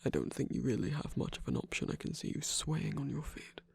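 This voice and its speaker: Casting: tender, teasing villain sweetheart for romantic ASMR performer of unclear sex, very husky half-whisper with a creaky edge. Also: mid-range voice, big pitch swings, a little fast, heavily acted. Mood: teasing, scolding. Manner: tender, soft-spoken, teasing. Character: affectionate villain lover, battle-scarred, gently scolding and reassuring. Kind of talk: intimate ASMR romantic roleplay.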